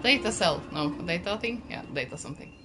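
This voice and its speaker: A woman's voice: lowish voice, expressive, brisk, over music. A Norwegian woman with a Norwegian accent, chatting casually and swearing freely while she plays and answers her viewers. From Norway. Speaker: game streamer. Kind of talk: casual gaming commentary.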